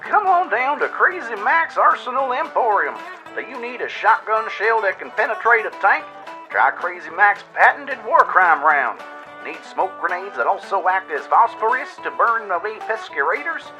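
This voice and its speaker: A man voicing a manic wasteland arms dealer hawking wares in an over-the-top radio commercial, with loud, excitable patter. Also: high voice, normal speed, soft background music, pitched above the performer's own voice, heavily acted, poor audio.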